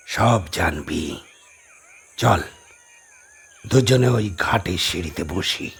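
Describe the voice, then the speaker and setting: A man voicing an ominous, commanding old holy man with a husky voice and slow, drawn-out delivery, in a horror audio drama. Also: sits low, animated, exaggerated voice. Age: older. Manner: slow, drawn-out, menacing chanting and speech.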